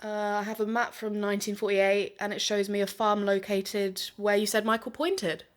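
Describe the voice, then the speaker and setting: A woman's voice: mid-range voice, flat delivery, normal speed, lightly acted. A woman playing a supportive, practical friend and co-podcaster in an audio drama.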